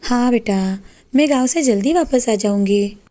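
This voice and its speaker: A woman's voice: on the high side, moderate pace, mellow, natural voice. A woman voicing a caring, concerned village mother in a cartoon moral story. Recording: background music under the voice.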